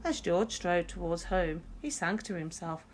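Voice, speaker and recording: A woman narrating a picture book for young children in an expressive teacher's manner. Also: fairly low pitch, unhurried. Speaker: primary school teacher.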